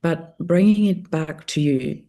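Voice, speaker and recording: A woman's voice: deep voice, unhurried, warm tone. A woman whose first language is German, hosting a podcast interview in a thoughtful, reflective way, sometimes searching for words. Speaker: podcast host.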